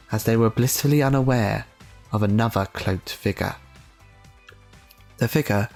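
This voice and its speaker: Slow, dramatic audiobook narrator. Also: mid-range voice, darkish tone. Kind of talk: dramatic scripted story narration.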